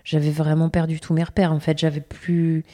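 Low mellow-voiced woman singer. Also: little pitch movement, normal speed. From Brittany. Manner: hesitant, many fillers and restarts. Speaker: singer being interviewed. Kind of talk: casual interview answers.

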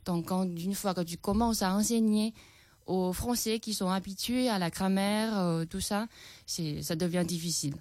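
A woman's voice: mid-range voice, normal speed, darkish tone, no echo. A Chinese-born woman teacher speaking French with a Chinese accent, hesitant and full of fillers, answering radio interview questions. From China.

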